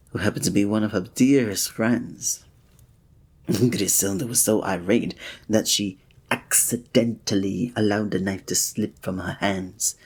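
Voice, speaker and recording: A man playing a flirty, breathy innkeeper. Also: on the low side. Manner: formal old-fashioned wording with chuckling asides. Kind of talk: ASMR roleplay.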